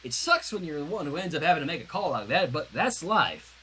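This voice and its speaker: A man's voice: a little high, very animated, brisk, pushed and bright, husky, full character voice. A man voicing a plain-spoken, practical country teenage girl in a cartoon fan story, blunt and folksy. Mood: blunt advice.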